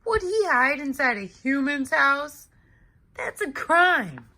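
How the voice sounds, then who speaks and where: A woman's voice: high-pitched, sing-song, bright, forceful tone, a little hiss or hum, pitched above the performer's own voice, heavily acted. A woman voicing a big, hungry male giant who tells his side of a storybook tale in an aggrieved, exclamatory way. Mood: indignant, boastful. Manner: exclamatory, indignant, deliberate storyteller. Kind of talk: expressive children's storybook read-aloud.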